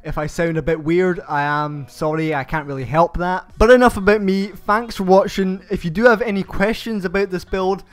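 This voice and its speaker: A young man with a stuffed-up, nasal voice from hay fever, hosting a game build tutorial and giving slow, methodical step-by-step instructions. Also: sits high. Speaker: channel host.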